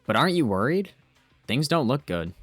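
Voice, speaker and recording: A man's voice: mid-range voice, very animated, moderate pace, no echo, faint music behind. A man in an amateur anime fan dub playing an earnest, anxious ordinary teenage boy. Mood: uneasy, questioning. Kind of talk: amateur fan dub with first-person narration.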